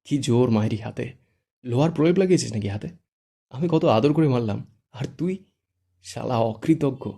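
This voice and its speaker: A man's voice: mid-range voice, flat delivery, fairly quick, warm tone, close to an everyday voice. A man voicing a cheeky, dramatic young man who mocks his friend in a raised, theatrical voice. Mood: teasing.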